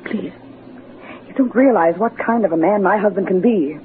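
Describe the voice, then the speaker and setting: A woman's voice: mid-range voice, moderate pace, lightly acted. Imperious, needy wife, female actor, dramatic 1940s radio delivery, muffled slightly noisy recording. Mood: pleading. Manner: dramatic, insistent. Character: bored, imperious, needy femme fatale wife. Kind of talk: 1940s radio melodrama acting. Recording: dull 1940s radio recording, light noise.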